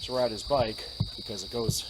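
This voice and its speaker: A man's voice: fairly even intonation, normal speed. Man ghost hunting on a night road. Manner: calls out loudly to spirits, otherwise low and musing. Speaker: paranormal investigator host. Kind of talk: casual narration while ghost hunting. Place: outdoors on a wooded road at night. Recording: night outdoor noise, crickets.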